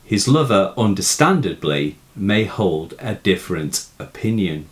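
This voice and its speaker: A man giving a scripted, explanatory poetry lesson in a measured, formal manner. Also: low-pitched, very animated. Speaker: poetry teacher. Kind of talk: scripted explanatory narration of a poem analysis.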